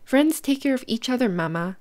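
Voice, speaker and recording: A woman's voice: a little high, animated, brisk, a little mellow, lightly acted. A woman voicing an eager, caring young male raccoon child in a children's storybook, with an earnest, childlike manner. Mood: tender. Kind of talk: children's storybook read-aloud.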